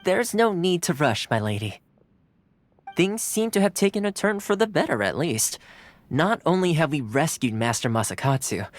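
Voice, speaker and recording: Lively, easygoing young retainer. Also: male voice, on the high side, dry recording. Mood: reassuring. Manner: breezy, playful, expressive. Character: easygoing, cheerful young male retainer, playfully competitive. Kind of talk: animated video game voice acting. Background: background music.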